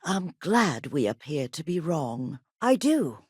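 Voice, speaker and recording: Casting: earnest colony-ship historian and teacher, gracious and articulate, lowish voice, very animated delivery, video game, close dry sound. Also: female voice, slow pace, husky, dry recording, heavily acted. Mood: reflective, wistful. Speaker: video game character voice actor. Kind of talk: scripted game character dialogue.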